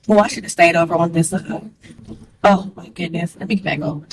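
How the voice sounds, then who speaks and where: A woman talking fast and candidly to the camera, chatty and frank, with a strong voice. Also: lowish voice, background noise. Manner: chatty, candid, calls listener girl; fast talker. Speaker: vlogger. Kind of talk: casual talk to camera.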